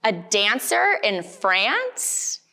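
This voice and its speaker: A woman's voice: medium pitch, huge pitch swings, extremely slow, pushed and bright, heavily acted. A woman voicing a curious, wondering little boy in a children's storybook, full of questions. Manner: questioning, wide-eyed.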